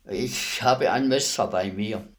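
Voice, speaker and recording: An actor playing a servile state executioner in a radio play, terse, deferential and matter-of-fact. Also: male voice, on the low side, takes it slowly. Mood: businesslike. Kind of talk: German radio play acting.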